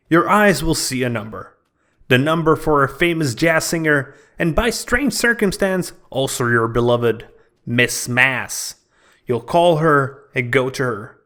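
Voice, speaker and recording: Lively, dramatic male game reviewer. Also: mid-range voice. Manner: dramatic, storytelling delivery. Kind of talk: scripted review narration.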